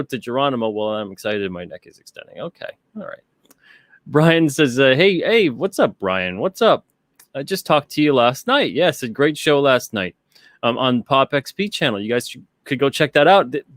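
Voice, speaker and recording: A man's voice: medium pitch, dry recording. Man hosting a casual live stream, dry close sound. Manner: rambling, conversational, repeats himself. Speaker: live-stream show host. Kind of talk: casual chat, reading out live chat comments.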